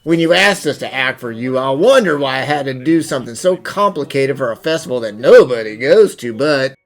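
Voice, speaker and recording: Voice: mid-range voice, expressive, brisk, natural voice. An actor playing a friendly, encouraging townsperson in an anime-style fan dub. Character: friendly festival supporter encouraging the mayor.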